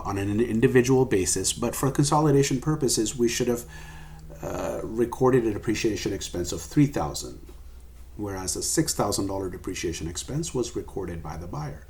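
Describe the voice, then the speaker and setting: A man's voice: on the low side, a little mellow. A man giving an explanatory recorded lecture as a professor, going step by step and stressing key points. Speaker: accounting professor.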